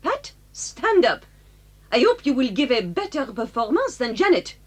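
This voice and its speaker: An actress playing a strict, easily exasperated French schoolmistress with a French accent and halting English, in a children's audio drama. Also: female voice, sits high, sing-song. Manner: commanding, theatrical, halting in English.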